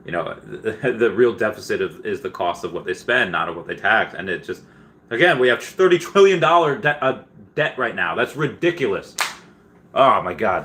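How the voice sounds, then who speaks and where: A man's voice: lowish voice, bright, forceful tone, a little hiss or hum. A man from Peru hosting a casual livestream, reading out and answering viewer comments in a rambling, stop-start, slangy way. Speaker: livestream host.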